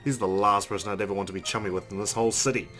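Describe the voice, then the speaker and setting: A man's voice: on the low side, steady tone, rapid-fire, a bit bright. A man hosting a let's play, reading visual novel narration aloud. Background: game music.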